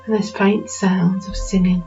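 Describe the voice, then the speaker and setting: A woman narrating a guided meditation in a slow, soothing manner with unhurried pauses. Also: mid-range voice, level tone, dark tone. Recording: dull lo-fi recording with music behind.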